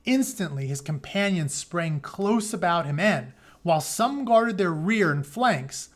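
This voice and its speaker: A man with a fairly high voice reads an epic tale aloud in the role of a teacher. He gives the written text a dramatic delivery, then shifts into plain, informal paraphrase to explain it. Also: animated, unhurried. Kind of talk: read-aloud of an epic retelling with casual asides.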